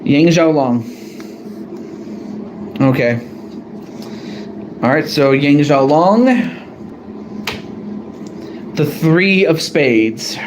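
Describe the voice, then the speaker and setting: A man with a fairly high voice, talking slowly and hesitantly on a live stream. He often stops to correct himself and apologizes. A faint hiss sits behind him. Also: expressive. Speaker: streamer drawing cards for a fan wrestling tournament. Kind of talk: casual live-stream commentary.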